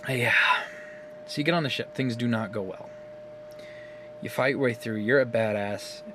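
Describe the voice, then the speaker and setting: A man talks into his phone camera at home in a loose, rambling way, recapping a game's story with plenty of swearing and laughter. His voice sits at an ordinary middle pitch. A faint hum runs underneath. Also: normal speed. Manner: animated, profane, laughs often. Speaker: gaming video creator.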